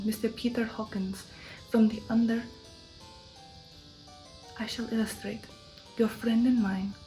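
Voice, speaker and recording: A woman voicing a commanding, formal old count in an ASMR storybook reading, with deliberate phrasing. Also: medium pitch, mellow, lightly acted, low-quality recording. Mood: explanatory. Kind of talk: ASMR audiobook character reading.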